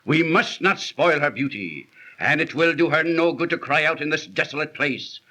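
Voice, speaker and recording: An actor playing a greedy, menacing desert bandit chief in theatrical 1930s radio style, commanding in archaic biblical diction. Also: male voice, fairly high pitch, expressive, moderate pace, bright, forceful tone. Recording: noisy, crackling 1930s radio transcription.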